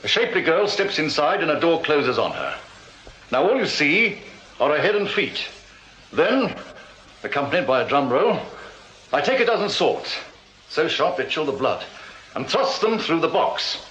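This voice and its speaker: A showy, proud Victorian magician, with a highish and very animated voice. He is florid and wry, in radio drama style. The sound is roomy and slightly muffled. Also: normal speed, long pauses. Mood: showy, explaining. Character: theatrical, proud Victorian stage magician held in prison. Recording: dull, roomy, noisy radio drama copy.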